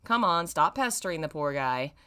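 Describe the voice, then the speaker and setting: A woman's voice: low-pitched, lively intonation, moderate pace, bright, forceful tone, clear, resonant tone. Female reader as smirking woman in fan fiction, knowing, breezy, teasing delivery. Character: sly, smirking, teasing dark-haired woman.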